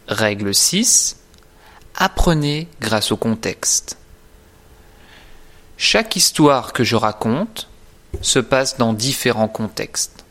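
Guy teaching, really slow and careful, medium pitch, scripted lesson. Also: expressive, a bit bright. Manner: deliberate, carefully articulated, didactic. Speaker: French teacher presenting own course. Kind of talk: scripted instructional narration.

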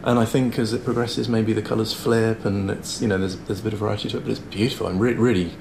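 Low-voiced jokey man hosting. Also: fairly even intonation, quick pace, creaky, noisy background. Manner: jokey, rambling. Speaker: podcast host. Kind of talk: casual podcast chat. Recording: roomy with music behind.

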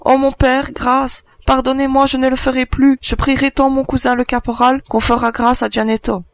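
A man's voice: extremely high pitch, a little fast, dry recording, pitched above the performer's own voice. A man voicing a frightened, desperate young boy who pleads tearfully in a storybook reading. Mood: pleading, desperate.

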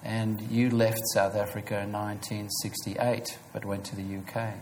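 Low-voiced male interviewer. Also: little pitch movement, normal speed, a little mellow, soft background music, rough recording. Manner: rambling, searching questions with fillers. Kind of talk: interview questions.

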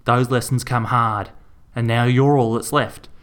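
A man voicing a bossy middle-aged woman shopkeeper with an Australian accent, shouting and swearing at her son. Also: mid-range voice, a little fast, pitched above the performer's own voice, lightly acted. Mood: furious.

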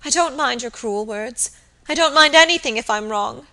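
A woman's voice: fairly high pitch, normal speed, bright, forceful tone, voice pushed up. A woman voicing a hysterical young servant woman in an audiobook drama, sobbing, gasping and pleading in terror. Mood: sobbing, remorseful.

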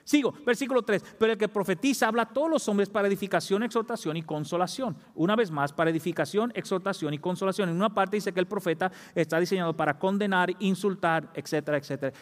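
A man's voice: high voice, fast talker, hardly any pauses, long reverb tail. A man preaching a sermon as pastor, fervent, rising to emphatic peaks. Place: church.

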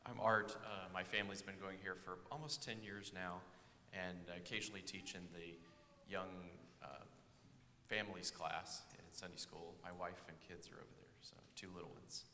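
Brief: low-voiced father, bright edge, reflective testimony, reverberant church room. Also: fairly even intonation. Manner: thoughtful, measured with fillers. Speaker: church member, Sunday school teacher, father. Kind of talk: casual testimony on stage. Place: church sanctuary. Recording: echoey hall with faint room noise.

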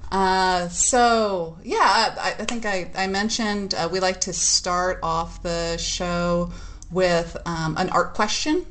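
A woman's voice: lowish voice, steady tone, takes it slowly, fairly bright tone, slight background noise. A woman hosting a podcast interview, chatty and laughing often, with many fillers. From United States. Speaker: podcast host. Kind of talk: casual interview chat.

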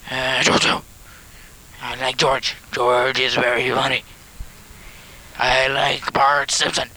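A man's voice: mid-range voice, completely flat delivery, takes it slowly, pushed and bright, poor audio. A man voicing a blustering Soviet villain who boasts about blowing things up, in broken put-on Russian-style English, rambling and giggling in an improvised home tape skit. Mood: boastful. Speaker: comedy character on a home tape.

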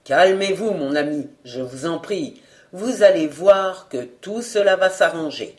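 A woman voicing a confident, seasoned male detective who speaks in a measured, reassuring way. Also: on the low side, expressive, a little mellow. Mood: calm. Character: calm, self-assured veteran male detective.

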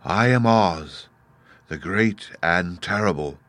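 A man reading aloud and voicing a mysterious being in a ball of fire that speaks in a low, slow, measured way. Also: a bit bright. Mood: solemn.

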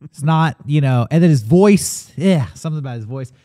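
A man's voice: on the high side, animated, fairly quick, mellow. A man co-hosting a casual movie podcast, rambling and interrupting himself in a playful way. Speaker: podcast co-host.

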